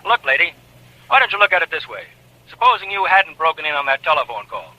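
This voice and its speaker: A brushing-off desk cop in an old radio play, quick, a bit high. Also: male voice, big pitch swings, pushed and bright, slight background noise, full character voice, poor audio. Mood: dismissive. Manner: brisk, dismissive. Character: brusque police desk sergeant, patronizing. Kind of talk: 1940s radio acting.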